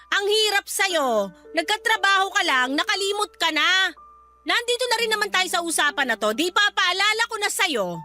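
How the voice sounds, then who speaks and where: A woman's voice: very high voice, big pitch swings, moderate pace, pushed and bright, soft background music, full character voice. A woman playing a bitter, domineering mother in a Filipino radio drama, scornful and accusing as she nags her daughter. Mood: scornful, reproachful.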